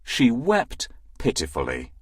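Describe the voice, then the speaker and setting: A storyteller giving an expressive, scripted narration of a children's fairy tale. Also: medium pitch, takes it slowly, breathy edge, no echo, clean studio sound.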